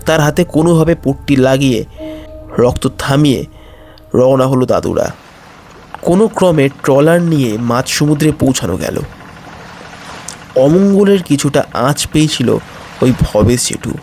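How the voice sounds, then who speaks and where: A man's voice: mid-range voice, lively intonation, moderate pace, pauses often, a little mellow. A man narrating a scripted horror story in a suspenseful style. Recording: music bed under voice. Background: music.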